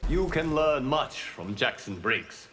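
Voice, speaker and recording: An actor playing a wise, solemn fire god in a fighting game, counseling in a measured, formal manner. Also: male voice, mid-range voice, sing-song, fairly bright tone, slight background noise. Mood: solemn, advising. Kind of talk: video game pre-fight banter.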